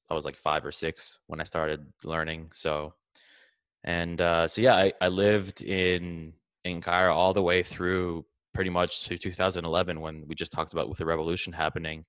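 A young man with a very low voice talks through his past in an easygoing, rambling way, often pausing on fillers. The sound is close and dry. He was raised in Egypt and comes from Greece. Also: smooth, dry recording. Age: young adult. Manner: relaxed, storytelling, frequent fillers and restarts. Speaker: interview guest. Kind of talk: casual conversational interview.

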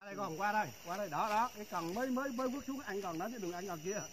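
A man's voice: high-pitched, racing, a bit bright. A middle-aged man chatting jokingly to viewers from a pond bank, often repeating his words, picked up by a distant camera. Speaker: angler in a friendly contest, addressing viewers. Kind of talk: casual joking chat to viewers. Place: outdoors on a grassy pond bank. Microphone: camera a few metres away. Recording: distant outdoor camera, faint background noise.

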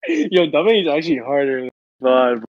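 Man with wildly swinging pitch, joking around. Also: lively intonation, quick pace, dry recording, muffled recording. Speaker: amateur fandub performer. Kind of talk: improvised comedic fan dub. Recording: music behind the voice.

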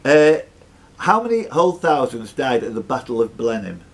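A man's voice: mid-range voice, very animated, moderate pace, background noise. A man hosting a live quiz, reading out questions and scores and teasing the contestants. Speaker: quiz host and question master.

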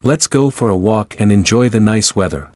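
A man with a somewhat low voice reads short answers for a language lesson, each line carefully paced. The sound is dry and close, with no room around it. His delivery stays clear and even. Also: no echo. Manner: clear, even, deliberate reading. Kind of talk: slow repeated example sentences for learners.

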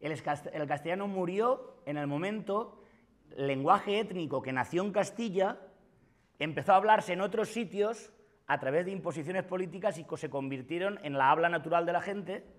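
Emphatic Valencian-accented male lecturer in his forties, fairly high voice, classroom mic from a distance. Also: normal speed. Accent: Valencian Spanish. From Valencia, Spain. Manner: emphatic, gesturing, often repeats himself. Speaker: philosophy lecturer. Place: classroom with whiteboard. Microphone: far camera.

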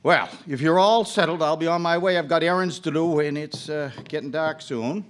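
An actor playing a superstitious, fearful rural caretaker in a live radio drama, telling things in an ominous, theatrical way. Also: male voice, a little high, normal speed, close to an everyday voice. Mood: cautionary. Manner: ominous, theatrical, warning storyteller. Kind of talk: old-time radio drama acting.

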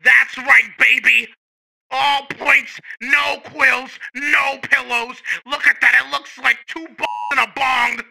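A man voicing a furious, crude, pompous cartoon mad-scientist villain in a gravelly, bombastic rant. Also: extremely high pitch, little pitch movement, slow, full character voice. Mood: indignant ranting. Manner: ranting, bombastic, theatrical shouting. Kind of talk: comedic parody dub rant. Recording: slightly noisy audio.

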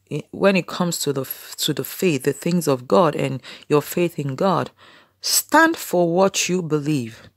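A woman's voice: sits low, gravelly, no echo, clean studio sound. A woman in her thirties preaching casually to camera from a small room, earnest and exhorting, with many "you know" fillers.

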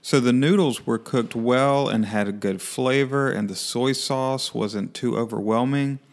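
A man with a gravelly, creaky voice at an ordinary pitch gives his verdict on a meal. He speaks plainly and in a measured way. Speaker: vlog co-host. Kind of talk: scripted voice-over review.

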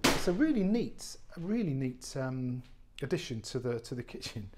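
A man, an estate agent giving an unscripted walk-through tour of a warehouse flat, chatty and full of fillers and restarts. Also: medium pitch, normal speed. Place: large warehouse flat, indoors. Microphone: handheld phone camera, speaker off screen.

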